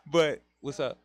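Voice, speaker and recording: A man with a Philadelphia accent hosting a casual internet radio talk show, rambling loosely and laughing often. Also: fairly high pitch. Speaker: podcast host.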